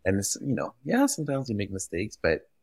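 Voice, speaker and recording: Deep-voiced man. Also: a little fast, slightly rough, dry recording, clean studio sound. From Belgium. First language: French. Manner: animated, repeats words while gathering thoughts. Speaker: guest, retail visual expert. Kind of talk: conversational podcast interview.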